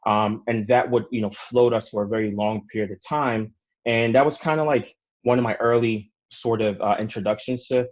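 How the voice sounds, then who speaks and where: A young man from Ghana, telling his story conversationally as an interview guest, in a halting way with many fillers. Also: lowish voice, highs cut off. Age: young adult. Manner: halting, frequent "you know" fillers. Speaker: podcast guest, real estate investor.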